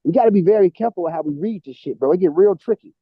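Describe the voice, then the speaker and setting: A man with a high voice talks through ancient ancestry in a casual podcast, restarting sentences as his ideas tumble out. His voice sits close and dry on the mic. The sound is dull and lo-fi. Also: racing, husky, no echo. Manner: excited, talks through genetic terms with restarts. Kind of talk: casual discussion of genetic ancestry.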